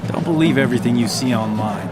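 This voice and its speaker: An actor playing a confident young warrior in a video game, with a cocky, blunt and defiant way of talking. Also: male voice, medium pitch, quick pace, over loud music, a loud crowd, natural voice. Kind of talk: cinematic video game acting.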